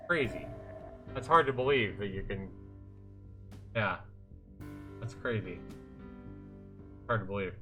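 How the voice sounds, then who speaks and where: A man's voice: on the low side, lively intonation, takes it slowly, long pauses. A man livestreaming a visual novel, reading the narration aloud casually and sometimes stumbling and correcting himself. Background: game music with guitar.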